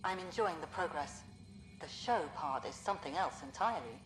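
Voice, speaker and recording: A woman's voice: sits low, lively intonation, moderate pace, fairly bright tone, echoey room, faint music behind, low-quality recording. An actress playing a stern, pragmatic woman who directs a colony, speaking with dry skepticism. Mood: wry.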